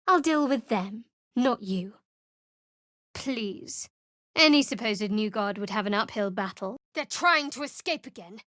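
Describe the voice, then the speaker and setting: A woman's voice: medium pitch, expressive, unhurried, fairly bright tone, no room sound. A woman voicing a guarded, sardonic young cleric adventurer in a game, with a dry, cutting delivery. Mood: wry. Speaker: video game character. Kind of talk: game voice lines.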